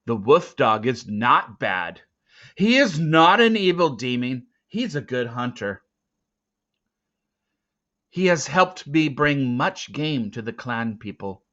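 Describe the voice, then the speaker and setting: A man's voice: mid-range voice, big pitch swings, slow pace, a bit bright, highs cut off. A man voicing an earnest, loyal young hunter boy in a storybook, with an urgent, pleading manner. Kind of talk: storybook character acting.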